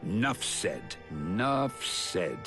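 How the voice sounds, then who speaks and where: A man playing a kindly, avuncular older mentor in a video game, gravelly and folksy as he encourages. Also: fairly low pitch, big pitch swings, very slow, over music, exaggerated voice. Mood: rousing warmth. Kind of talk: video game character acting.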